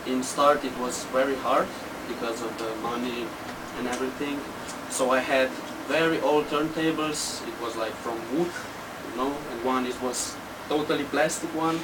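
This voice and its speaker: Recorded at a distance under loud background noise, a young man from Slovenia speaks English with a Slovenian accent. He gives casual interview answers, choosing simple words and halting as he goes. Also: mid-range voice. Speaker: interview guest, techno DJ and producer.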